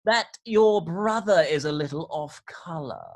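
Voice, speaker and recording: A man's voice: fairly high pitch, lively intonation, measured pace. A man acting an unflappable young dandy in a Victorian stage comedy, airy, glib and mock-serious. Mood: smug, teasing.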